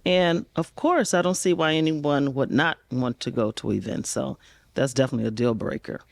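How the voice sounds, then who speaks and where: A woman's voice: extremely low pitch, moderate pace, gravelly, no room sound. A woman in her sixties, a dating show contestant, speaking in a cheerful, friendly way. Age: 60s.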